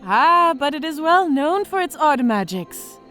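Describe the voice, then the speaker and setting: A battle-scarred orc woman with a high, lively voice that rises and dips as she spins war tales. She speaks with theatrical, measured weight in a fantasy audio drama, over faint music. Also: full character voice. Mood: musing. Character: battle-scarred female orc veteran officer, worldly storyteller. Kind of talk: audio drama character acting.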